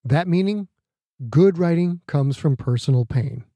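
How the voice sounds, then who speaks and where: A man's voice: on the high side, animated, a little slow, mellow, dry recording. A man reading a scripted, reflective author's note aloud.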